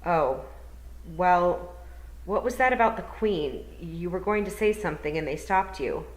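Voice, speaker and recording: A woman's voice: fairly low pitch, animated, measured pace, roomy sound, poor audio. A woman voicing a young storybook heroine, a direct, inquisitive girl with a stubborn streak. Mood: insistent.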